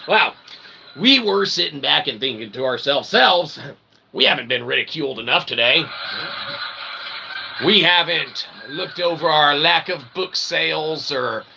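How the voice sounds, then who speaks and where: A man with a fairly high, gravelly voice hosts a rambling comedy livestream. He plays the showman with self-deprecating patter and punctuates it with little questioning tags. The recording has clear background noise. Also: lively intonation, unhurried, bright, forceful tone, low-quality recording. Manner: mock-showman, self-deprecating, refers to himself as "we"; repeats tag phrases like "okay?" and "eh?". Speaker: livestream host.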